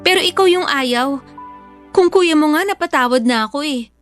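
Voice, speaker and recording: A woman's voice: high-pitched, lively intonation, moderate pace, faint music behind. A woman playing a young mother back with her old boyfriend, placating yet stubborn, in conversational Filipino radio drama style with a Filipino accent. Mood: pleading, regretful.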